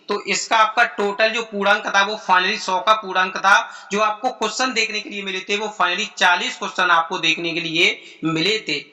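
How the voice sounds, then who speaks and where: A man's voice: high-pitched, bright, forceful tone. A man giving an informal explainer to students as an exam-prep presenter, in repetitive, formulaic phrasing.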